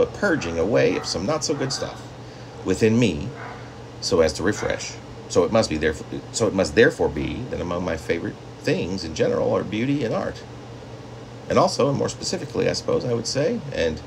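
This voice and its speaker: Low-voiced, very animated middle-aged man. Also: vocal fry, background noise. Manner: conversational, rambling, with asides. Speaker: poet reading own work. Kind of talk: casual talk and poetry reading to camera. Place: small indoor home room.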